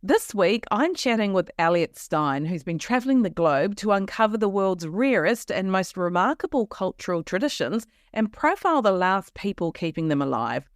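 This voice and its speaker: A woman's voice: mid-range voice, big pitch swings, moderate pace, hardly any pauses, dry recording. This is an Australian woman hosting a travel podcast. Her mid-range voice sounds eager and conversational, with little self-corrections as she goes. The recording is close and dry. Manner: enthusiastic, chatty with restarts. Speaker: podcast host. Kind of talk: casual interview chat.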